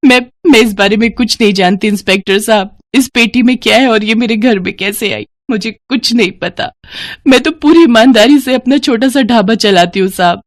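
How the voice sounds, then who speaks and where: A woman's voice: a little high, normal speed, no room sound, clean studio sound, voice pushed up, close to an everyday voice. A woman voicing a devout, earnest, hardworking eatery owner in an emotional storybook manner. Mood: anxious, pleading.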